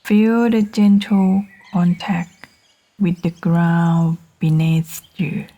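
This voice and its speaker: Very slow, mellow woman guiding meditation. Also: fairly low pitch, dull audio. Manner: gentle, soothing, unhurried with long pauses between short phrases. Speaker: meditation guide. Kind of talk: guided meditation narration.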